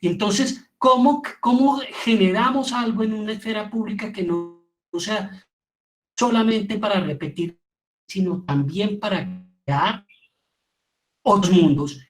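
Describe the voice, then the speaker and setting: A man's voice: sits high, measured pace, poor audio. A middle-aged man with a Colombian accent gives an informal, reflective lecture, repeating words for emphasis as he teaches. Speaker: theatre teacher giving a lecture.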